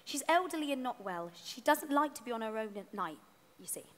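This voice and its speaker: A woman's voice: fairly high pitch, animated, fairly quick, a bit bright. A woman playing a fussy, defensive male gallery curator in a dramatised story reading, explaining things at length. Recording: echoey hall, picked up in the room.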